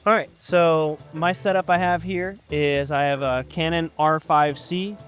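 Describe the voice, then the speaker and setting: A man's voice: fairly high pitch, fairly even intonation, unhurried, fairly bright tone, soft background music. A man patiently explaining camera basics outdoors at dusk, in a casual, hesitant way full of ums and uhs. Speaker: photographer teaching a guest.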